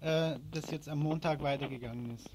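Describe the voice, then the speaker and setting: A man's voice: fairly high pitch, steady tone, fairly quick, a little mellow, slight background noise, rough recording. A man working as a radio interviewer, reading a scripted report and putting questions to a guest.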